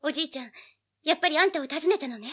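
A woman's voice: high-pitched, animated, rapid-fire, sharp tone. An actress playing a brash, money-minded teenage girl in an audio drama, with a blunt, snappy manner. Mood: realising, subdued.

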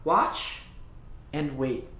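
A storyteller voicing Moses, a calm and firm old prophet leading his people, in a slow, solemn tone. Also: medium pitch, echoey room, pitched below the performer's own voice, full character voice. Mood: solemn, steady.

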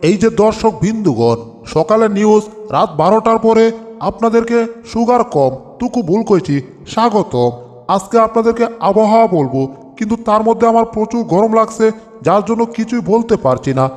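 A man's voice: high-pitched, expressive, moderate pace, darkish tone. A man playing a bungling comic TV weather presenter in a Bengali cartoon dub, announcing in a mock-formal way. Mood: flustered. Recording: long reverb over background music.

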